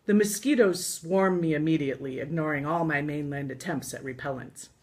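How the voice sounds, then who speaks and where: A woman's voice: low-pitched, fairly quick, a little mellow. American woman reading her memoir expressively. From United States. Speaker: author reading from own book. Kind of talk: live reading of a scripted first-person travel memoir.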